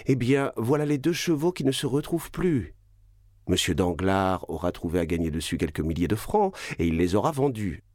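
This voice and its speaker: A man voicing an indignant, haughty baroness in a read-aloud classic novel, speaking in a sharp, reproachful way. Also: on the low side, big pitch swings, measured pace, no echo, studio quality, voice pushed up, exaggerated voice. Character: haughty indignant baroness, middle-aged woman.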